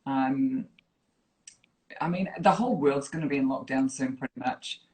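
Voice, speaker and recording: A woman with a northern English accent softened by years in New Zealand, chatting casually and teasingly on a live stream as she reads out viewer comments. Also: rumbling low, moderate pace. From Yorkshire, northern England. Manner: playful, teasing. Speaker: fitness program director, interview guest. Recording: roomy, echoey live online video chat.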